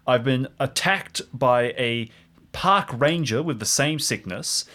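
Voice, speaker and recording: Man playing a rattled, insistent young park ranger, animated. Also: mid-range voice. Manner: agitated, questioning, repeats himself. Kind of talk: improvised audio drama roleplay.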